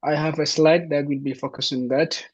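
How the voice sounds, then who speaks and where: A man from Somalia walks listeners through information in a fairly high voice, full of "um" and "you know" as he restarts his sentences. The sound is dull and lo-fi, like an online session over a video call. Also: level tone, normal speed. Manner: hesitant, many fillers and restarts. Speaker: presenter. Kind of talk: informational talk.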